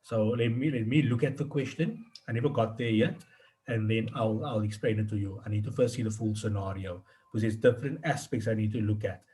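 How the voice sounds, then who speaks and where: A man with a fairly low voice is tutoring one student in an informal way. He explains step by step in a halting manner, often repeating a phrase before he rewords it. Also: warm tone, a little hiss or hum. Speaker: accounting tutor.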